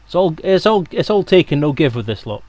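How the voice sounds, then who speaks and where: A man's voice: on the high side, sing-song, fairly quick, a little mellow. A man hosting a livestream, chatting casually with friends and his viewers. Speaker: stream host. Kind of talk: casual stream chat.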